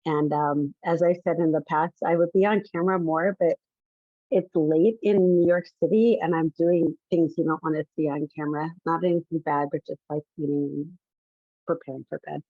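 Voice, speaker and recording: A woman's voice: low voice, steady tone, normal speed, no room sound. A woman chatting enthusiastically on a video call. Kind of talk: casual remarks on a video call. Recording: tinny phone-like line, dry.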